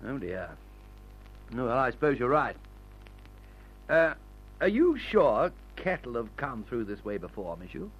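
An actor playing a fussy, anxious young airman, worried and excitable, in an old radio adventure serial. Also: medium pitch, measured pace, long pauses, breathy edge, dull audio. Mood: hesitant. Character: anxious, fussy, well-bred young airman, easily alarmed.

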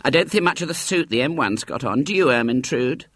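A chatty, opinionated mother gossips in a raised, lively voice, as a male reader puts her on. The tone is husky and dry. A storybook figure. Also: mid-range voice, brisk, no room sound, voice pushed up.

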